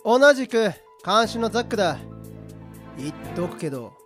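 A man's voice: high voice, big pitch swings, normal speed, a bit bright, soft background music. A man playing a lax, cocky prison guard of twenty-five in an anime-style radio drama, casual and flippant. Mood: cocky, annoyed.

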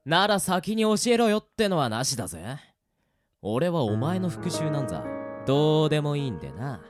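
Man acting a cocky, animated, ruthless young rival. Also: on the high side, normal speed, faint music behind. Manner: brash, sneering, rough street talk. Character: cocky, rough, ruthless young male gamer. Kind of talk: audio drama acting.

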